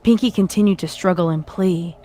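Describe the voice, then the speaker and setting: Low-voiced woman narrating a horror story. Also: fairly quick, mellow. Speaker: fan fiction narrator. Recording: music behind the voice.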